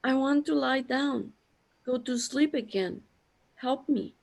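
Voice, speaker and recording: A woman's voice: sits high, slow pace, creaky, darkish tone, full character voice. A woman acting an anxious, bewildered character overwhelmed by existential dread in a sci-fi audio drama. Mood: panicked, faint.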